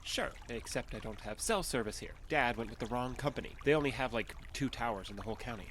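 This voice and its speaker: An actor playing an earnest, matter-of-fact young alien fugitive who passes for human in an audio drama, speaking naturally and a little hesitantly. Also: male voice, medium pitch, quick pace, fairly bright tone, a little hiss or hum, close to an everyday voice. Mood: explaining, insistent.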